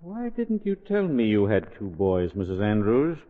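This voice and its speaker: A formal middle-aged doctor from a 1940s radio comedy. He is fussy and emphatic, swinging widely in pitch. The sound is thin and tinny. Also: huge pitch swings, moderate pace. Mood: exasperated. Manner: formal, emphatic, increasingly exasperated. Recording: tinny, narrow-band 1940s radio recording.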